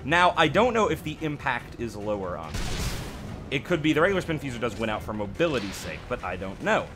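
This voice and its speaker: Animated man doing casual game commentary. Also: mid-range voice, brisk, music in the background. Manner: conversational, opinionated, self-deprecating. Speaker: gaming channel host.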